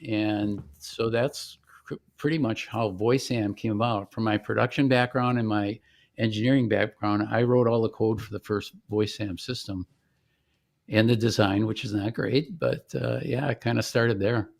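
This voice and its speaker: A man's voice: on the low side, fairly even intonation, moderate pace, vocal fry. A middle-aged man, a company founder, chatting casually as an interview guest and explaining things in a plain-spoken, informal way.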